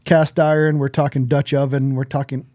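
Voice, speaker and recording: A man's voice: medium pitch, flat delivery, moderate pace, dark tone, no room sound, clean studio sound. A man co-hosting a podcast in casual conversation, talking bluntly and plainly with some casual swearing. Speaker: podcast co-host.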